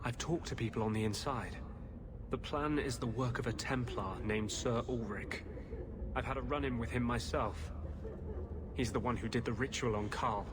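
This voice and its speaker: A grim, principled rebel mage from a fantasy game, played by a man. His medium-pitched voice is earnest and bitterly insistent, with clear noise in the background. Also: normal speed, close to an everyday voice. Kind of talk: fantasy video game acting. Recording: clearly noisy game audio.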